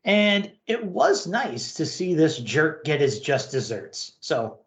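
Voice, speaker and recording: Male host, wry and emphatic reviewer, mid-range animated voice, echoey room sound. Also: a little high, takes it slowly. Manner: sarcastic, emphatic. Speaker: podcast host. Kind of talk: wry comic book review.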